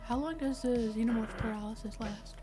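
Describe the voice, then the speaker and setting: A woman with a fairly high voice plays a tabletop game. She talks casually and informally, thinking through her moves aloud. Faint music runs behind her. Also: brisk, warm tone.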